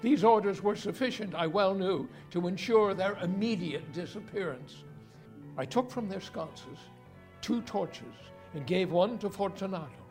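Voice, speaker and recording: An actor playing a vengeful Italian nobleman in a gothic tale, measured, formal and insinuating, with feigned courtesy. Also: high voice, a little mellow, faint music behind. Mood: sinister, measured. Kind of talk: dramatic reading of a gothic tale.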